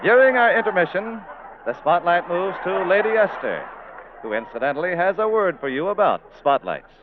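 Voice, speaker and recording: High-pitched vintage male radio announcer. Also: sing-song, normal speed. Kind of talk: formal scripted 1940s radio announcing. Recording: noisy, phone-like 1940s radio broadcast.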